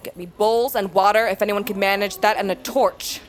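A woman's voice: medium pitch, fairly even intonation, fairly quick, slight background noise, lightly acted. A woman playing a proud, bitter young butcher, a queen's daughter, in a fantasy audio drama, defiant and sardonic. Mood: commanding. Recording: music behind.

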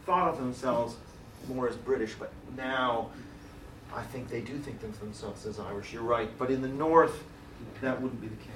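A man's voice: mid-range voice, moderate pace, fairly bright tone. A man giving a university lecture in a conversational way, thinking aloud with fillers and restarts. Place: lecture room. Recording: roomy hall recording from far off, faint hiss.

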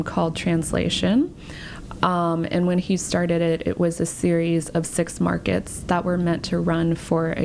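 A woman's voice: fairly low pitch, slight background noise. A woman in her thirties from Texas, an artist talking casually in an interview with many fillers. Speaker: artist guest.

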